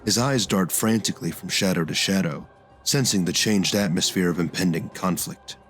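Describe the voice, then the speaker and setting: A man's voice: sits low, moderate pace, fairly bright tone, faint music behind. A man giving scripted, dramatic narration for an audio drama.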